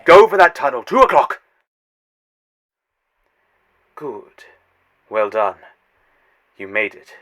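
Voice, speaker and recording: Male actor as an arrogant alien Eternal, smug and scornful, very animated pitch, mid voice. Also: measured pace. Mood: urgent. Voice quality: sarcastic, condescending. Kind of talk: fan audio drama.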